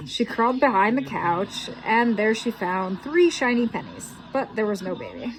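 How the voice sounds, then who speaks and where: Expressive woman reading a picture book. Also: on the high side, low-quality recording. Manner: slow, expressive storytelling. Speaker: children's book reader.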